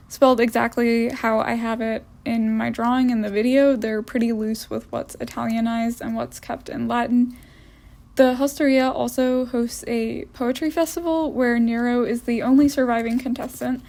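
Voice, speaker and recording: Wry, mellow-voiced young woman. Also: on the high side. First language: English. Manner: wry, opinionated. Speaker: vlogger reviewing films. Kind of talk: casual voice-over commentary.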